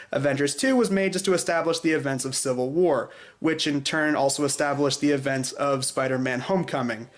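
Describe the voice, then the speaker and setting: A man hosting a show with opinionated scripted commentary, informal and sarcastic in delivery. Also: fairly high pitch. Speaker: video show host. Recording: echoey room.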